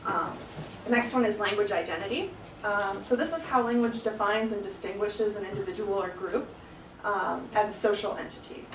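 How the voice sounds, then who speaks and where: A woman's voice: mid-range voice, moderate pace. Young woman giving an academic talk. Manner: earnest, with frequent ums. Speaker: guest speaker, graduate student. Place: lecture room. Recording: echoey room, distant mic, noisy.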